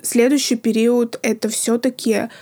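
A woman guest on a podcast, speaking slowly and earnestly, with phrase ends trailing into a whisper. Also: on the high side, little pitch movement, warm tone. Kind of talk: reflective podcast conversation.

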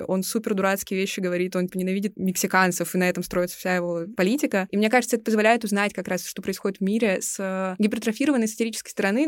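A woman's voice: medium pitch, no echo, clean studio sound. A young woman co-hosting a podcast, talking casually and analytically, with opinionated phrasing and youthful slang. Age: young adult. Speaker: podcast co-host.

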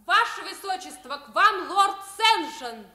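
A woman's voice: very high-pitched, expressive, measured pace, pushed and bright, exaggerated voice. An actress playing a formal, deferential young palace page boy in a radio play. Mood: formal, matter-of-fact. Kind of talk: Russian radio play acting.

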